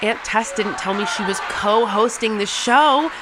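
A woman's voice: medium pitch, unhurried, crowd noise, noisy background, natural voice. A woman playing an eager, competitive thirteen-year-old girl who tells her own story in an audio drama. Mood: eager storytelling. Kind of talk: first-person audio drama narration.

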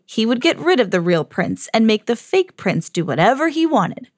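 A mother hosting her own podcast, reading a children's storybook aloud with lively jumps for the quoted lines. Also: on the high side, normal speed, no echo, studio quality. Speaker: mom reading to her kids, podcast host.

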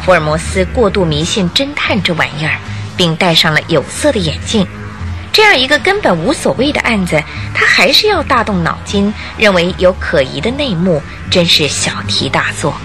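A woman narrating a radio drama as an expressive storyteller with scripted, dramatic delivery. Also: lowish voice, normal speed. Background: background music.

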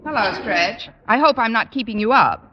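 A woman's voice: a little high, lively intonation, normal speed, dull audio, natural voice. A woman playing a wisecracking schoolteacher. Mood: wry. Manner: dry, wisecracking. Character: witty wisecracking female high school teacher. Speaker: lead and narrator. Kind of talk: 1940s radio sitcom acting. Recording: noisy old radio broadcast.

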